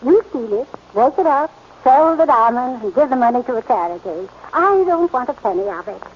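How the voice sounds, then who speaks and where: A woman's voice: high voice, animated, a little fast. An actress playing a genteel, easily flustered woman of seventy in a 1940s radio drama. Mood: fluttery. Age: 70s. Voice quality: fussy, flustered refrains. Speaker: elderly society lady asking for a theft. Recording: dull, lightly hissy old radio broadcast.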